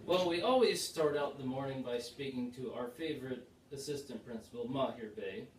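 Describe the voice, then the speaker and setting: A man's voice: mid-range voice, normal speed, echoey room, slight background noise, poor audio. A male teacher bantering casually with a colleague, answering in short, agreeable replies.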